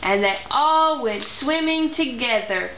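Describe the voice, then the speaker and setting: A woman's voice: high-pitched, expressive, slow pace, fairly bright tone. A woman narrating a simple puppet-show story for children at home. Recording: echoey room, faint background noise.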